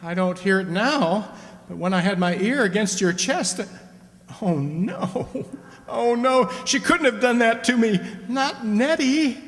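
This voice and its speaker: Casting: male actor as doting, easily rattled radio-drama husband, lightish high voice, reverberant hall. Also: lively intonation, a little slow, fairly bright tone, heavily acted. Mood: horrified disbelief. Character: affectionate husband, excitable, prone to panic and disbelief. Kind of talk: live radio play acting. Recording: echoey hall with long reverb.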